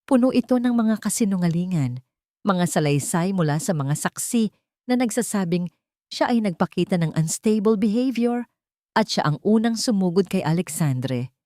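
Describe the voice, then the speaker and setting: A woman's voice: medium pitch, lively intonation, moderate pace, mellow, no room sound, studio quality. A woman narrator reading a scripted dramatic love story.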